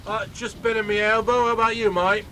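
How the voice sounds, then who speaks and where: Bloke doing a chuckly aussie pub mate, pretty high voice, easygoing. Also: male voice, level tone, fairly quick, bright, forceful tone, slight background noise. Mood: easygoing banter. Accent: put-on Australian. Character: laid-back Aussie pub mate egging on a story. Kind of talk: comic radio drama.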